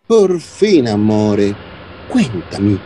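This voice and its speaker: Un actor de radionovela que interpreta a un inmigrante italiano cariñoso y tranquilizador, con acento italiano y palabras en italiano mezcladas. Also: male voice, fairly low pitch, very animated, extremely slow, warm tone, slight background noise, exaggerated voice. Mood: reassuring. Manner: mixes Italian words into Spanish. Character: doting Italian immigrant man, reassuring lover. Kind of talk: radio soap opera acting.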